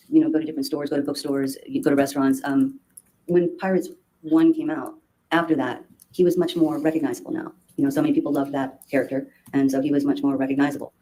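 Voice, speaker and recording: A woman testifying as a witness in court, speaking haltingly with many restarts and fillers. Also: low-pitched, mellow, poor audio. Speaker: trial witness.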